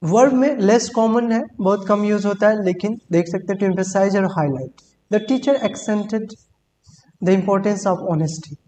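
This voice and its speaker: A woman teaching English vocabulary in Hindi, reading English examples slowly and deliberately and often repeating words. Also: medium pitch, dark tone. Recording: long hall reverb with faint hum.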